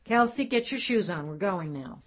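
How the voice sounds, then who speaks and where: A woman's voice: medium pitch, lively intonation, normal speed, natural voice. A woman reading as a bossy, controlling young dad. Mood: stern. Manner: loud, insistent, demanding. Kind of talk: audiobook reading of quoted dialogue.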